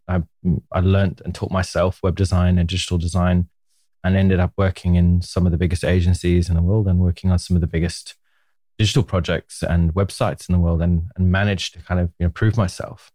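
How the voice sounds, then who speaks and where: A man in his forties, born and raised in Zimbabwe, tells his story as a podcast guest with low, unhurried warmth. He sounds candid and reflective and laughs easily between memories. His voice is close and dry, with no room sound around it. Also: dry recording. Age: 40s. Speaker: podcast guest, media co-founder. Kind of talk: relaxed interview storytelling.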